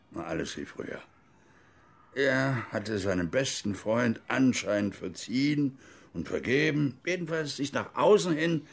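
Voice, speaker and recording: An actor playing a bitter older East German former officer and grieving father, telling his story broodingly and haltingly in a radio drama. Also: mid-range voice, vocal fry. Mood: bitter, subdued.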